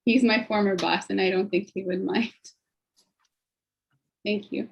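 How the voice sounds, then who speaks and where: A woman's voice: mid-range voice, steady tone, measured pace, vocal fry, husky, lo-fi sound. A woman giving an earnest personal account at an online panel, talking conversationally over a video call. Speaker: guest speaker.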